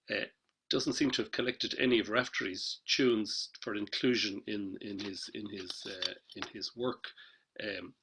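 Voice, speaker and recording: A man's voice: mid-range voice, sharp tone, slightly rough. A man speaking casually as a panellist on a webinar, hesitant, with many fillers and restarts. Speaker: panellist, traditional musician. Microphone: video call.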